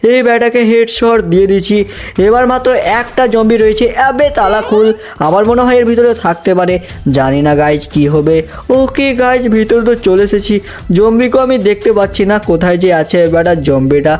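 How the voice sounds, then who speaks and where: A man's voice: high-pitched, a little fast, darkish tone. A man hosting a gaming video, telling the story as he plays and constantly addressing viewers with exclamations. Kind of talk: excited gameplay storytelling commentary.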